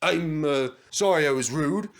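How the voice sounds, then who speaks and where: A pompous, jealous steam engine who huffs about his own importance, put on by a man. The storybook voice is fairly high and animated, taking its time over the words. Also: unhurried. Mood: eager. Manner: pompous, huffy.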